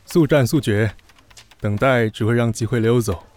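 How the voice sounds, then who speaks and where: A man's voice: mid-range voice, moderate pace, a little mellow, natural voice. An actor playing a composed, demanding male company boss in a radio drama, speaking curtly with authority. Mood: cool, commanding. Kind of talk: Mandarin radio drama acting.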